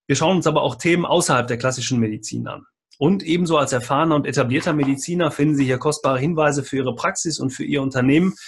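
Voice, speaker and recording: A male podcast host with a somewhat high-set voice and a distinctly husky tone. He presents and asks questions in the manner of an interview show, part scripted, part spoken freely. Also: quick pace.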